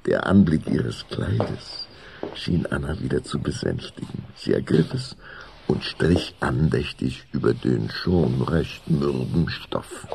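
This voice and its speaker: A man's voice: very deep voice, sing-song, slow, gravelly, darkish tone, a little hiss or hum. A man narrating a children's spooky radio play in a theatrical, suspenseful way. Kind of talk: dramatic scripted narration of children's horror story.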